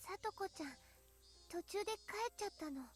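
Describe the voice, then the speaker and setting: Brief: a sweet anime teen girl, very high and quick, faint music underneath. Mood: gentle.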